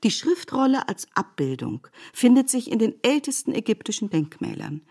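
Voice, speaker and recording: A woman giving a measured, scripted literary narration in an essayistic manner for a radio production. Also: a little high, big pitch swings, a little mellow, husky.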